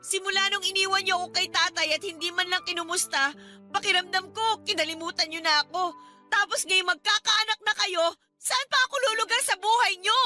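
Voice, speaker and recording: A woman's voice: extremely high pitch, a little fast, sharp tone, faint music behind, exaggerated voice. A woman playing a hurt young student daughter in a Filipino radio drama, pleading earnestly and respectfully but insistently. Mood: hurt, reproachful.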